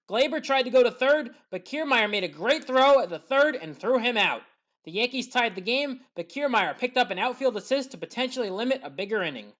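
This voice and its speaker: Woman narrating a sports highlights recap. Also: a bit bright. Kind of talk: scripted play-by-play recap narration.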